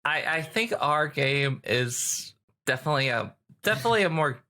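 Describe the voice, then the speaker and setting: A man's voice: on the high side, lively intonation, moderate pace, sharp tone. A man chatting casually as a player on a podcast, with a wry, teasing manner. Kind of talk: casual chat.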